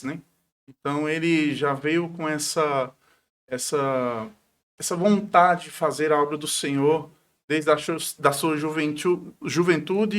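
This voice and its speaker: A man around forty speaks in a slightly high voice. He is a pastor being interviewed, talking devoutly and conversationally about himself. He often punctuates his sentences with brief tag questions. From Paraíba, northeastern Brazil.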